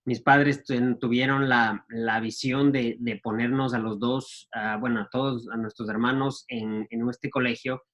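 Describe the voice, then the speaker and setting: A man, telling stories of his youth in a casual, halting way full of restarts and fillers. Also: medium pitch, fairly even intonation, fairly bright tone, dull audio. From Ecuador. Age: 40s. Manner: halting, many restarts and fillers, laughs at himself. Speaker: guest entrepreneur. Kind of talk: casual storytelling.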